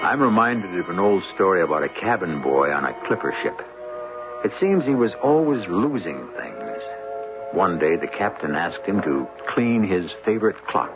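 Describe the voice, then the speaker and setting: A man hosting a radio drama with theatrical, measured scripted narration in a storytelling manner. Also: low voice, big pitch swings. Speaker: host narrator. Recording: tinny old radio broadcast. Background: music.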